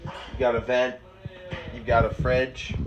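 A man's voice: mid-range voice, completely flat delivery, slow, lo-fi sound. Young man, nasal cold voice, rambling offhand vlogger, phone mic, noisy recording. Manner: rambling, self-correcting, offhand jokes. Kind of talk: casual handheld vlog. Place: small city apartment indoors. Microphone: phone held in hand.

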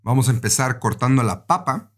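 A man's voice: medium pitch, animated, brisk. A man with a Mexican Spanish accent giving a casual, conversational recipe voice-over, speaking directly to the viewer as a cooking host.

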